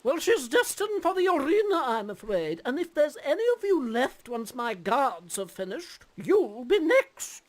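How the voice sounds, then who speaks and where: An actress playing a haughty, menacing villain in a fantasy video game, with theatrical delivery. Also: female voice, sits high, sing-song, a little slow, few pauses. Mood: smug menace. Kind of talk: video game character acting. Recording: loud music behind.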